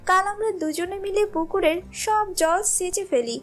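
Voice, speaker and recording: A woman's voice: very high-pitched, lively intonation, moderate pace, faint music behind. A woman voicing a greedy, bossy, discontented wife in a cartoon moral story, with a nagging, exclamatory way of talking. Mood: scheming, insistent.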